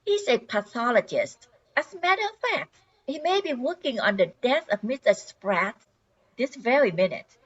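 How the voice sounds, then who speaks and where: A woman's voice: on the high side, expressive, moderate pace, breathy edge. An older woman playing a confident, polite suburban wife in her thirties in an amateur radio play. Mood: polite, pointed. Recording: dull lo-fi sound.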